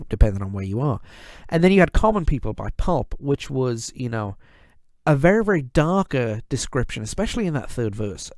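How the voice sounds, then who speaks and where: A man's voice: mid-range voice, darkish tone, no room sound, clean studio sound. A man hosting a podcast, talking casually with many fillers, repeated words and wry asides. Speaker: podcast host. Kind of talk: casual solo podcast monologue.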